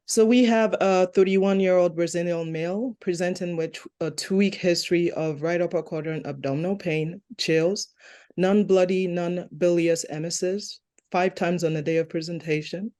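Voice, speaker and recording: An endoscopy fellow presenting a medical case in a steady, clinical delivery. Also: female voice, fairly low pitch.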